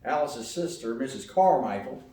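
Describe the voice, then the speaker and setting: A man's voice: mid-range voice, animated, moderate pace, lightly acted. A man playing an easygoing, hospitable old grandfather in a live radio play, folksy and wry. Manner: folksy, unbothered. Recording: noisy echoey hall, distant mic.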